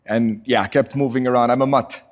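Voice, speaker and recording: A man in his forties from Portugal, a podcast guest telling his story in a relaxed, chatty way with frequent laughs. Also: medium pitch, quick pace. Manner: laid-back, laughs often, says "you know" a lot. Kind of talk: casual chat.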